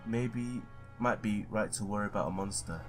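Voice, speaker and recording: A man's voice: on the low side, normal speed, music in the background. A man doing a let's play, reading story text aloud with informal asides and stumbling now and then over the words. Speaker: gamer reading a visual novel aloud.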